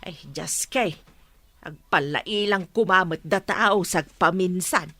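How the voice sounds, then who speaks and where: An actress playing a brisk, grumbling older mother-in-law in a radio drama. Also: on the low side, bright, forceful tone, no room sound, exaggerated voice.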